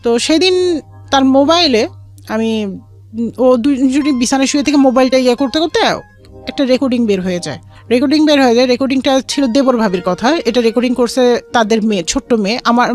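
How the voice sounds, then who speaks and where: A woman with a Bangladeshi accent tells a personal story in an interview, acting out both sides of remembered conversations. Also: sits high. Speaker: guest telling life story. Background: background music.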